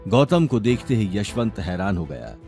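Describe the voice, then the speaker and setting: A man's voice: lowish voice, very animated, moderate pace, darkish tone, faint music behind. A man narrating a scripted audio drama series in a dramatic storytelling style.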